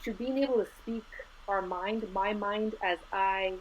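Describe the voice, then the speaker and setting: A middle-aged woman from Los Angeles, a school administrator, speaking as an interview guest in an earnest, measured way, dropping in Spanish words. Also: medium pitch. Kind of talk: conversational interview. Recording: slightly dull lo-fi audio with faint noise.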